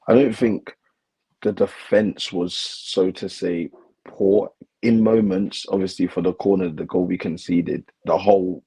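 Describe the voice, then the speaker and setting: A man co-hosting a casual football chat, slightly hoarse from losing his voice, laid-back and defensive about his team. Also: sits low, warm tone, rough recording. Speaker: co-host, football fan.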